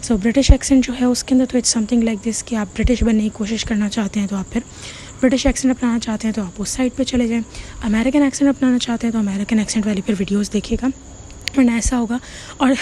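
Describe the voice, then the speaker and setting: A woman with a Pakistani Urdu accent talks viewers through English-learning tips in a relaxed, chatty way, checking in often and slipping English words into her speech. Her voice sits a little high yet sounds soft and mellow. A faint hiss runs under the recording. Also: quick pace. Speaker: online English teacher. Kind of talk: casual advice talk to viewers.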